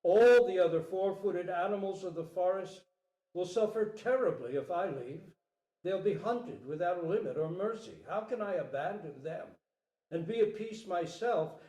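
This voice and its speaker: A man voicing a noble, selfless deer king in a storybook parable, grave, measured and resolute. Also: high voice, animated, warm tone. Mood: earnest pleading. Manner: solemn, measured, pleading with dignity. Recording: roomy, echoing live recording.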